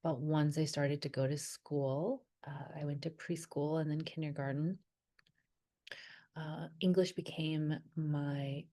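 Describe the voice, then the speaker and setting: A woman with a low, mellow voice speaks slowly and softly. She tells personal memories in a reflective, hesitant way, often pausing to search her thoughts. Her first language was Vietnamese. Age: adult. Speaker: wellness creator.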